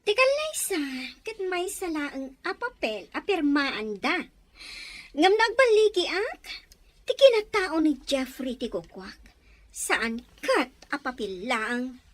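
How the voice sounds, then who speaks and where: A woman with an Ilocano accent voicing a jealous young woman obsessed with a man who is engaged to someone else, speaking slowly and bitterly. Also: high voice, very animated, dry recording, exaggerated voice. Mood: defiant. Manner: slow, bitter, swinging between sobs and defiance. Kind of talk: melodramatic audio drama.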